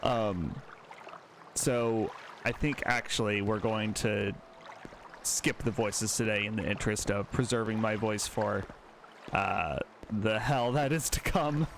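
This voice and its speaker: A man's voice: fairly low pitch, slow pace, background noise. A man hosting a let's play, chatting casually and self-deprecatingly as he plays. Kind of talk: casual gameplay commentary.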